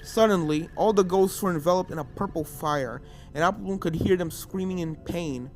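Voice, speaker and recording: A storyteller reading fan fiction aloud in a dramatic scripted narration style, at a medium pitch. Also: normal speed, a little mellow. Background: video game music.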